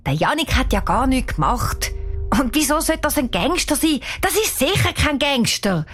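A woman voicing a bold, impatient young girl in a children's story, excited and urgent, with a Swiss German accent. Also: high-pitched, moderate pace, faint music behind, pitched above the performer's own voice. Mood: dismissive.

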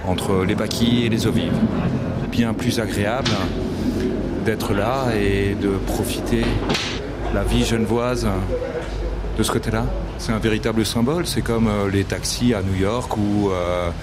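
Low-voiced commuter on a noisy ferry. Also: male voice, fairly even intonation, unhurried. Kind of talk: casual interview. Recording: noisy outdoor field recording.

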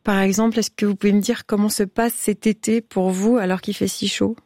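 A woman's voice: medium pitch, little pitch movement, brisk, darkish tone, no room sound, clean studio sound. A woman hosting a radio phone-in, chatting casually and effusively with callers in an encouraging way.

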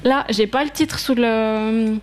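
A woman's voice: a little high, steady tone, takes it slowly. A woman, a librarian running a radio quiz, patient and encouraging as she gives hints. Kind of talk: quiz hosting and casual chat.

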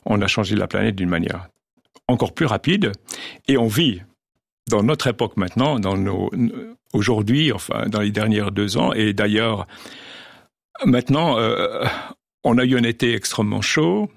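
Older male scientist guest, low and gravelly, halting speech full of restarts, dry close sound. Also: dry recording. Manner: hesitant, many restarts and fillers. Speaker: guest geologist and oceanographer. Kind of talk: radio interview, casual explanation.

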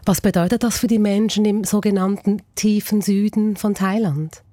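A woman's voice: medium pitch, fairly even intonation, moderate pace, dark tone, no echo. A woman interviewing a correspondent for radio news with prepared questions.

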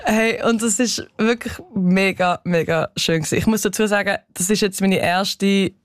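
A young Swiss woman, an actress, speaking with a Swiss German accent as a guest in a radio studio, talking with lively enthusiasm in quick bursts. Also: medium pitch, dry recording. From Switzerland. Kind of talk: casual radio interview.

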